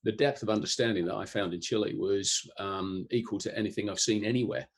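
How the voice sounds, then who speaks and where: A European man answering interview questions in a thoughtful, explanatory way, pausing often with ums and restarts. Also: fairly low pitch, steady tone. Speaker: guest, disaster resilience expert.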